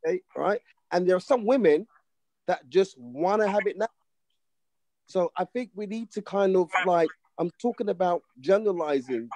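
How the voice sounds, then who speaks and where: 40s guy on a panel, high voice, dry close sound, casual, pushes back. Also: lively intonation, moderate pace, a little mellow, no echo, low-quality recording.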